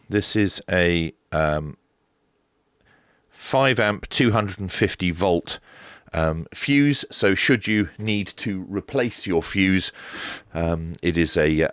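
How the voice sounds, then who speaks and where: A man's voice: low-pitched, lively intonation, slow pace, fairly bright tone, no echo, studio quality. A man giving a casual, unscripted unboxing review, explaining things as he goes in a hesitant way. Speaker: radio gadget reviewer.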